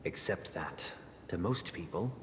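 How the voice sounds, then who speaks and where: An actor playing a solemn noble man who heads a family, telling stories in a measured, formal way with soft, hushed phrases. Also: low voice, lively intonation, a little hiss or hum. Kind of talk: game dialogue voice acting. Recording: reverberant with game music.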